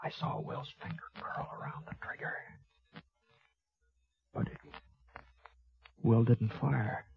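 An American actor playing a laconic, folksy gunfighter who narrates a 1950s radio western in a halting, drawling way. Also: male voice, medium pitch, fairly even intonation, creaky, in a whisper. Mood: tense, measured. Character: laconic, folksy, fair-minded Old West gunfighter narrating his tale. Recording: dull lo-fi vintage 1950s radio recording.

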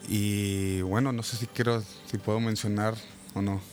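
A man with a somewhat low voice hosts a live radio interview. He talks in a relaxed, chatty way, sprinkling in religious remarks. Soft music runs faintly behind him. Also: moderate pace. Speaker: radio host and music producer.

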